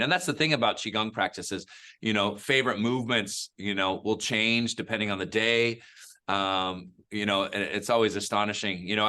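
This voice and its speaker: A man's voice: on the low side, sharp tone, clean tone. A man, a qigong instructor, chatting casually and laughing easily on a video call.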